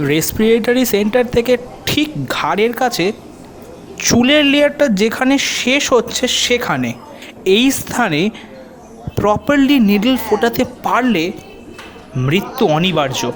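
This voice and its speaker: An actor playing a confident, helpful police officer in an audio detective drama, explaining in a measured way with English terms mixed in. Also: male voice, very high voice, very animated, full character voice, low-quality recording. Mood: serious, explanatory. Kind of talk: audio drama acting in Bengali detective story.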